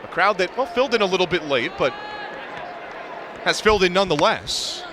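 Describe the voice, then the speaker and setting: A man's voice: sits high, expressive, brisk. A man calling live basketball play-by-play in clipped, vivid running description, following the action moment by moment. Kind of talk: live sports commentary. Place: courtside in a large indoor arena. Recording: loud arena crowd noise behind voice. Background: crowd and bouncing basketball.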